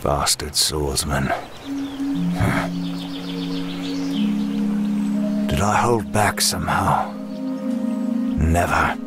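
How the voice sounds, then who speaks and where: A man's voice: very deep voice, slow pace, exaggerated voice. A man voicing a grim, cold, brooding mercenary swordsman in a dramatic comic dub. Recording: loud music under the voice.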